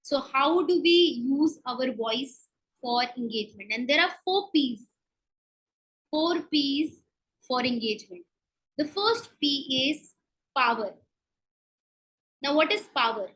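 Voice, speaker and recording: A woman speaking as a coach giving an instructional talk, emphatic and with deliberate pauses. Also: high voice, expressive, a bit bright, rough recording. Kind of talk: instructional presentation to an online group.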